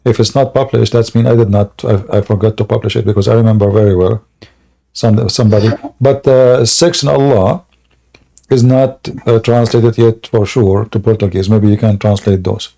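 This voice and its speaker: Man, mellow voice, call-in show host, mocking, joking, tall tales. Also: on the low side, fairly even intonation, normal speed, creaky, poor audio. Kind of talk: live call-in religious debate show.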